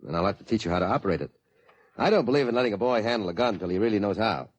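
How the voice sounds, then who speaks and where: A genial middle-aged dad in an old radio play. His voice is fairly low and husky, swinging animatedly in pitch. He talks in a hearty, indulgent, fatherly way. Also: lively intonation, very fast, dry recording, muffled recording. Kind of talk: 1950s radio drama acting.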